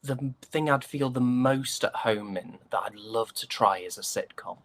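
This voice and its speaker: A man's voice: mid-range voice, measured pace, a bit bright. A British man, an actor, chatting casually as an interview guest in a joking, self-deprecating manner.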